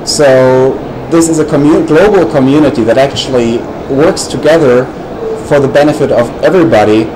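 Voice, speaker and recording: A man on a panel replying at length to an audience question in a measured way, with frequent fillers. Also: medium pitch. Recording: noisy recording.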